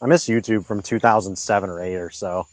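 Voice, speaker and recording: A man's voice: on the low side, steady tone, fairly quick. A young man co-hosting a livestream, laid-back, reading chat messages aloud and swearing casually. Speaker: livestream co-host.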